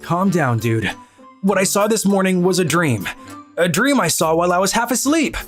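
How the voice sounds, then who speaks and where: Cool-headed teenage anime boy played by a woman, low voice, dry and exasperated narration, music underneath. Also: sing-song, moderate pace. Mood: flustered. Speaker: narrator and lead character of a manga video. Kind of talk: scripted first-person anime narration and dialogue. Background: background music.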